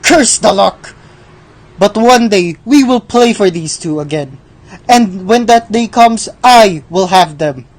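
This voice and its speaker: A woman playing Death, a sardonic, playful, menacing male personification, in a radio drama with a slow, mocking delivery. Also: mid-range voice, lively intonation, low-quality recording. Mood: frustrated, vowing.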